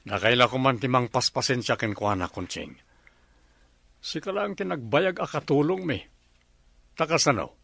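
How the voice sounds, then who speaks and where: Genial coaxing household boss, male actor, comedy radio drama: lively pitch, creaky edge, close dry sound. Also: moderate pace, long pauses, no echo. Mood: teasing. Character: genial, flirtatious master of the house.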